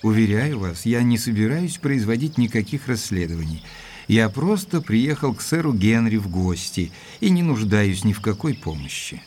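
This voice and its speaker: An actor playing a reserved, sceptical gentleman investigator who tells his story in a measured way in an audio drama. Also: on the low side, sing-song, a little hiss or hum. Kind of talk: dramatised first-person narration and dialogue.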